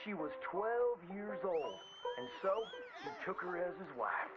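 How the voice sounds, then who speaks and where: Hammy, high-voiced Southern frontier showman. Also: sing-song, measured pace, dull audio. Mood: folksy, boastful. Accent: American Southern, old frontier drawl. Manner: hammy, folksy, exaggerated storyteller delivery. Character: folksy theatrical frontier showman spinning boastful tall tales. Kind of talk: theatrical old-time storytelling narration. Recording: band-limited old-radio sound with prominent music. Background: music.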